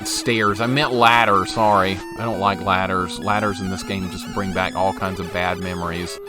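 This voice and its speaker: A man's voice: low-pitched, steady tone, normal speed. A man, chatting casually and rambling while he streams a retro game. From Alabama. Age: 40s. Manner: rambling, self-interrupting, chatty. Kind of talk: casual live-stream chat while playing. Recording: game music playing behind.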